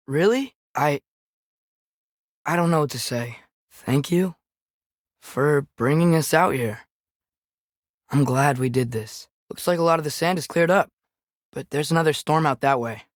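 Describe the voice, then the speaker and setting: A man's voice: a little high, fairly bright tone, no echo, clean studio sound. A young male actor voicing an earnest, compassionate teenage boy adventurer in a video game, with an American accent and a questioning, casual way of talking. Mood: sincere, questioning. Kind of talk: naturalistic video game character acting.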